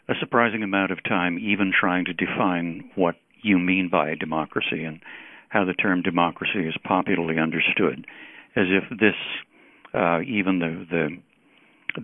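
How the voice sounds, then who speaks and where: A man moderating an interview, very halting as he feels his way toward each question. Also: low voice, no room sound. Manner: very halting, slow to reach the point. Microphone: telephone. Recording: dry, narrow telephone line.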